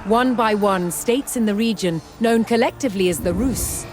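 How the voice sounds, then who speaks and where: A woman reads scripted historical narration for a game in a measured, dramatic storytelling manner, with deliberate pauses. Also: mid-range voice, a little mellow. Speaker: documentary-style game narrator. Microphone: studio voice-over microphone. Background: music.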